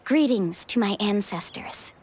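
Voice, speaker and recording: A woman's voice: mid-range voice, animated, measured pace, a little mellow, a little hiss or hum, close to an everyday voice. An actress playing an upbeat, confident young woman who works as an adventure guide in a video game, friendly and self-assured. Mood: solemn.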